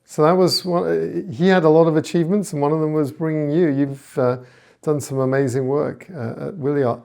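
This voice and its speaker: A man's voice: fairly high pitch, fairly even intonation, moderate pace, vocal fry, dark tone. A man hosting a podcast interview, encouraging his guest and murmuring along as he listens. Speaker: podcast host.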